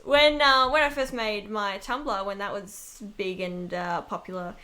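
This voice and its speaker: A woman's voice: medium pitch, fairly even intonation, a little slow, background noise. Woman chatting casually in a rambling way. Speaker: amateur voice actress being interviewed. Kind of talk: casual chat interview.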